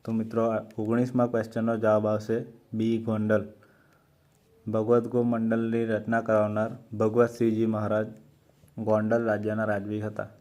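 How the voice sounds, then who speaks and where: A man with a Gujarati accent hosting an exam-prep quiz, reading out questions and options slowly and carefully. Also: lowish voice, completely flat delivery, heavy background noise. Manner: slow, measured reading of options. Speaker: quiz host for exam preparation.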